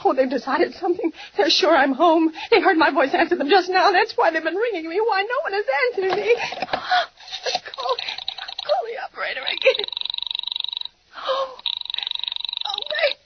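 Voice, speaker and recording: An actress playing an imperious, neurotic bedridden wife who is shrill, haughty and overwrought, in the melodramatic style of a 1940s radio thriller. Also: female voice, expressive, moderate pace, highs cut off. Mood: fearful. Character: imperious, panicking, neurotic bedridden wife, high-strung and demanding. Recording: noisy, band-limited 1940s radio recording.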